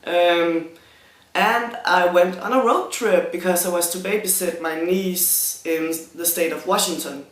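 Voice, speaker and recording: A young Danish man with a Danish accent, answering viewer questions in a casual vlog, hesitant and self-conscious with many pauses and restarts. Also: sits high, fairly bright tone, echoey room. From Denmark. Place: room in front of a green screen.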